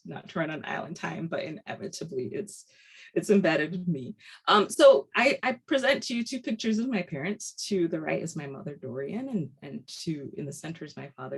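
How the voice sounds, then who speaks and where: A woman from Barbados giving an earnest webinar lecture over a video call, often pausing on ums and restarting her sentences. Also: low voice, gravelly, slightly rough. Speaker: guest lecturer.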